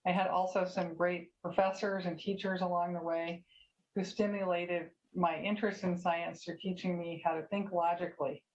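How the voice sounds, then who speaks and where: A woman's voice: fairly low pitch, flat delivery, moderate pace, dull audio. A woman scientist answering panel questions over a video call in a composed, articulate way.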